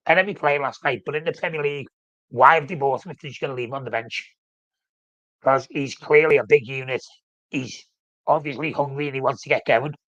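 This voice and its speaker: A man's voice: mid-range voice, fairly bright tone, dry recording, lo-fi sound. Snuffly man chatting on a live stream. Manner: rambling, self-correcting, reads out comments. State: snuffly with a flu. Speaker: fan channel host. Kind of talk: casual live-stream football chat.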